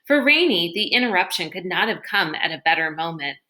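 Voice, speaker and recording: A woman reading a story aloud in an expressive narrating style. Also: fairly low pitch, fairly quick. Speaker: storybook reader.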